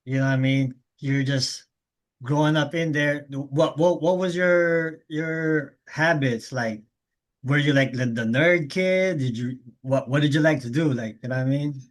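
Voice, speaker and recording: A man hosting a casual interview, asking loose, halting questions in street slang. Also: medium pitch. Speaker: host.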